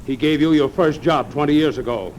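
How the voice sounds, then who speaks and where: An actor playing a coolly determined, vengeful blackmailer in an old radio drama, measured and accusing. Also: male voice, on the high side, darkish tone, lightly acted, poor audio. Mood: cool menace. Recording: old radio recording with faint rain noise.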